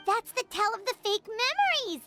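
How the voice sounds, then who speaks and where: A woman voicing a small, excitable, childlike fairy companion in a video game, chatty and earnest with an exclamatory way of talking. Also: normal speed, over loud music, heavily acted. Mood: excited. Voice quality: squeaky, childlike. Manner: exclamatory, speaks of self in third person. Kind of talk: video game character acting.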